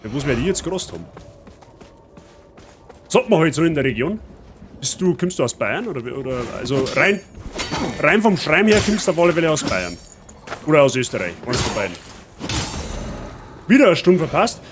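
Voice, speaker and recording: A man's voice: fairly high pitch, big pitch swings, normal speed, long pauses. A man with a Bavarian accent chatting casually with his viewers while playing a game. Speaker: streamer. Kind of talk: casual let's-play chat. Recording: noisy stream audio.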